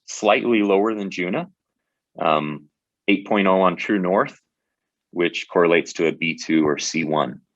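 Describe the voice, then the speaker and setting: A man's voice: sits low, fairly bright tone, no echo, dull audio. A man presenting a webinar in a conversational way, often restarting his sentences. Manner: conversational, with many fillers and restarts.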